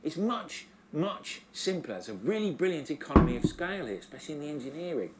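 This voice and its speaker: A man's voice: on the high side, sing-song, fast, heavy background noise. A man presenting a documentary on location, explaining with emphatic enthusiasm.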